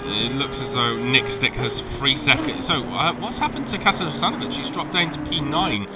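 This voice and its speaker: A man's voice: mid-range voice, normal speed, highs cut off. A man with a Welsh accent giving live sim racing commentary, hesitant with many ums and restarts. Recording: loud background noise.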